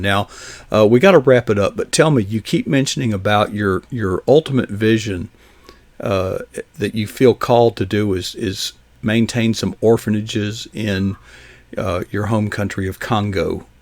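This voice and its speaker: A man's voice: fairly low pitch, measured pace, dry recording. An older man hosting a live online interview, folksy and encouraging, asking rambling questions. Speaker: show host.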